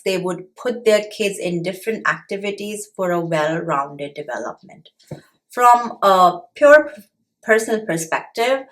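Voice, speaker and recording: A woman's voice: mid-range voice, a bit bright, rough recording. A middle-aged woman from India with an Indian accent, explaining personal finance straight to camera in an earnest, patient way. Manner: earnest, explanatory, with occasional pauses and fillers. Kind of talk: direct-to-camera talk.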